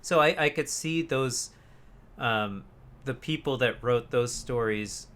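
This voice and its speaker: A young man with a bright, forward voice talks as a podcast co-host. His delivery is informal and rambling, peppered with swearing, in the manner of a casual gaming chat. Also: mid-range voice, slow pace. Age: young adult. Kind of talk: casual chat about video games.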